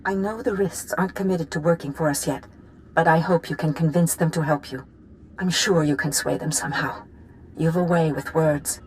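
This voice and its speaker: A woman's voice: deep voice, fairly even intonation, moderate pace, slight background noise, lightly acted, low-quality recording. An actress playing an urgent, conspiring noblewoman in a video game, speaking earnestly. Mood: urgent, worried. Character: urgent, conspiring noblewoman sending secret message. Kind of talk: scripted game dialogue.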